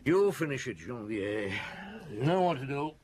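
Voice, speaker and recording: An actor playing a weary, relentless middle-aged chief inspector in a radio detective drama, speaking formally and deliberately. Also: male voice, medium pitch, big pitch swings, darkish tone, slight background noise, lightly acted.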